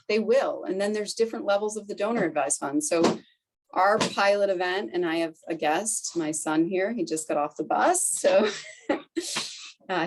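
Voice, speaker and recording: A woman's voice: medium pitch, rough recording. A woman in her forties with a Boston accent, giving a chatty, conversational presentation to fellow professionals, full of "right?" and "like". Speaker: foundation director presenting to colleagues. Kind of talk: conference presentation.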